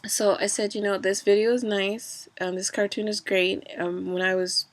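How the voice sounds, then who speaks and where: A woman's voice: medium pitch, level tone, normal speed. A woman talking in a casual personal vlog, rambling with many fillers and restarts, a bit stuffy from a cold.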